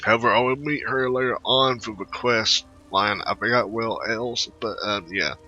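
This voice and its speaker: A man doing casual game commentary and reading text aloud, haltingly, stumbling over words. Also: medium pitch, sharp tone, faint music behind. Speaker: gamer narrating a playthrough. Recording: slightly dull, lo-fi microphone audio.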